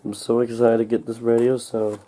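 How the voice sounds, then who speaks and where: A young man with a slightly congested, cold-recovering voice talks casually and haltingly, muttering to himself as he hosts an unboxing. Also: medium pitch, monotone, mellow. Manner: halting, muttering to himself while handling packaging. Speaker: unboxing video host. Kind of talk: casual unscripted product unboxing talk. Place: indoors at home, over a table. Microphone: camcorder microphone close above the table.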